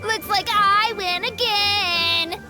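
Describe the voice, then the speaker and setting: A woman's voice: extremely high pitch, steady tone, extremely slow, full character voice. A woman voicing a small boy in an anime game, childlike, proud and loyal, with a wary streak. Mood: boastful. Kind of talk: English anime game voice acting. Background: music.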